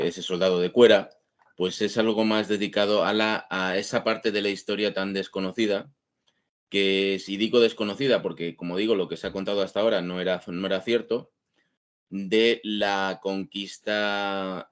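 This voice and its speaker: A man from Spain with a Castilian accent, explaining as an interview guest in a discursive, halting way, repeating words as he thinks. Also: low-pitched. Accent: Spanish (Spain). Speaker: guest author, historian and archaeologist.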